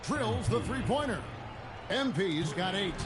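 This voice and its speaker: High voiced hoops play-by-play announcer, polished video game commentary, loud music behind him. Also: male voice, lively intonation, slow pace. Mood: brisk, engaged. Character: male basketball play-by-play announcer, brisk and polished. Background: music and basketball court sounds.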